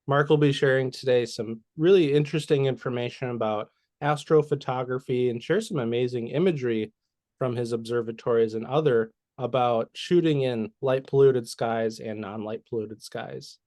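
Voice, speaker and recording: A man's voice: mid-range voice, normal speed, dark tone, no room sound, studio quality. A man hosting a webinar, reading a prepared introduction of the guest speaker. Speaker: webinar host.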